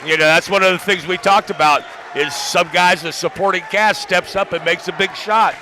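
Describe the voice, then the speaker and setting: A man's voice: high voice, steady tone, normal speed. A man giving live analysis as a basketball color commentator. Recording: loud gym crowd and noise. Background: gymnasium crowd, bouncing basketball.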